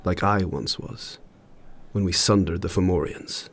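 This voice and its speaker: An actor playing an ancient, solemn warrior spirit in an audio drama, speaking in a measured, grave and formal way. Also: male voice, slight background noise. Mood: grave, instructive. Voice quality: low, husky.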